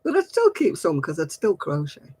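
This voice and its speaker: A man's voice: on the high side, wildly swooping pitch, moderate pace, a little mellow, slightly rough, dry recording. A middle-aged man, hosting a casual craft livestream and chatting with viewers in a giggly, rambling way. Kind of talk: casual chat answering viewer comments.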